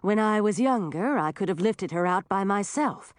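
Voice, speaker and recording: An aging she-dragon of a storybook, brisk and commanding, performed by a woman narrator. The voice is lively and emphatic, close and dry. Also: mid-range voice, no echo, lightly acted. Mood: weary. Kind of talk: audiobook character voice.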